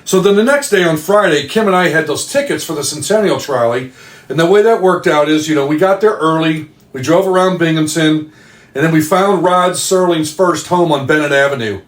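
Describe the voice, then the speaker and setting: A man's voice: a little high, moderate pace. A man recording a casual voice message, telling a personal story step by step. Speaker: fan contributing a voice message. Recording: roomy, echoing amateur voice message.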